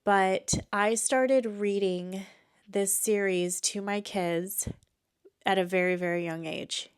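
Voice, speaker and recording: A woman's voice: medium pitch, fairly even intonation, slow, clean tone. Chatty woman with a stuffy nose. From Texas. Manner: chatty, with frequent "um"s and restarts. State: congested from allergies. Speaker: podcast host. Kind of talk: casual chat.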